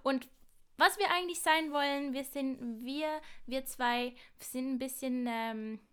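A woman's voice: high-pitched, slow, fairly bright tone, clear, resonant tone. A young woman from Switzerland with a Basel accent, co-hosting a casual podcast over a remote call and speaking hesitantly with laughs and apologies about her German. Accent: Basel Swiss German. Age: young adult. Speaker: podcast co-host. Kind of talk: casual chat.

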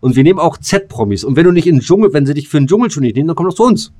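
A man's voice: a little high, lively intonation, very fast, dark tone, no room sound. A man co-hosting a podcast in casual, blunt, slangy banter that tips into ranting. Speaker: podcast co-host.

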